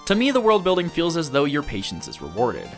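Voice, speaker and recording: A man's voice: on the high side, wildly swooping pitch, a little fast. A man reading a scripted video essay as an anime reviewer, analytical and articulate in formal wording. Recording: music behind the voice. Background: background music.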